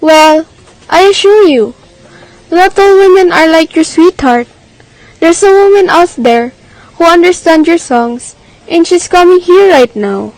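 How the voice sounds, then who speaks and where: A woman's voice: very high-pitched, lively intonation, a little slow, darkish tone, no room sound, low-quality recording. A woman playing Life personified in a radio drama, knowing, teasing and coolly persuasive. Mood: probing, reassuring. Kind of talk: theatrical radio drama acting.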